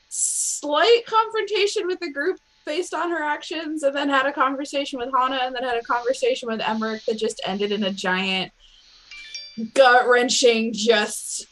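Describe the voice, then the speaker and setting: A woman player on a tabletop game show giving a casual, halting recap as she searches for words. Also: fairly high pitch, fairly bright tone, low-quality recording.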